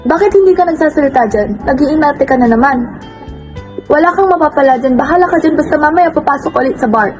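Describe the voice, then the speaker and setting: A young female student, played by a woman in an amateur radio drama. Her voice sits high, with clear music playing behind it. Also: fast, lightly acted. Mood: scornful.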